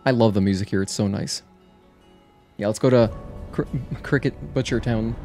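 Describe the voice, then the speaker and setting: A man's voice: lowish voice, moderate pace, warm tone. A man doing casual, chatty gameplay commentary as a livestreamer, thinking aloud as he goes. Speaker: video game livestreamer. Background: game music.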